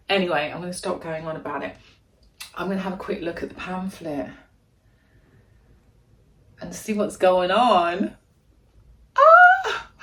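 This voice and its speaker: A woman with a deep voice, chatting casually and gushing as she unboxes a gadget in a vlog at home. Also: a bit bright. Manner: gushing, chatty, thinking aloud. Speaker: vlogger.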